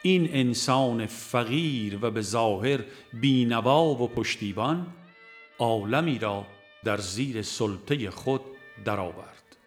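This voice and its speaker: A man reading a religious audiobook in a measured, formal way, as scripted narration. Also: mid-range voice, animated, soft background music.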